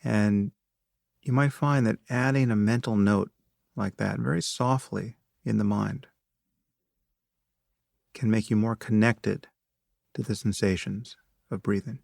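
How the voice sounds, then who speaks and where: A man guides a meditation in a low, creaky voice. He gives calm instructions very slowly, leaving long pauses between measured phrases. The sound is close and dry. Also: no room sound.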